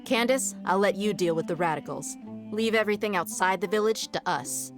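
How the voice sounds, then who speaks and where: A woman's voice: medium pitch, fairly quick, close to an everyday voice. A woman playing a tough, easygoing, independent mercenary in a video game, casual and self-assured. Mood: decisive. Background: music.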